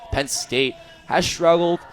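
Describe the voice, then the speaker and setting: A man's voice: a little high, takes it slowly. A young American man giving live color commentary on a baseball radio broadcast.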